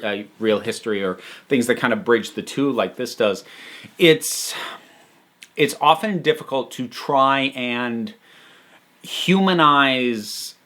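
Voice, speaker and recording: Male review host, mid-pitched with a bright, forceful edge; casual and emphatic, thinks aloud in halting, wandering sentences. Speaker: host reviewing audio dramas. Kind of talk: casual unscripted review commentary.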